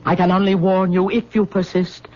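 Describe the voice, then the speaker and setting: A woman's voice: on the low side, little pitch movement, normal speed, a little mellow, close to an everyday voice. An actress playing a stern, superstitious queen mother in an old radio drama, speaking with formal solemnity on a dull, lo-fi recording. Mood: ominous, unyielding. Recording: dull lo-fi vintage radio sound.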